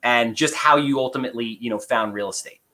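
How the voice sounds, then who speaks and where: Bright-voiced man hosting a podcast. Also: mid-range voice, a little fast. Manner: encouraging, asks open follow-up questions. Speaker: podcast host. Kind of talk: casual interview.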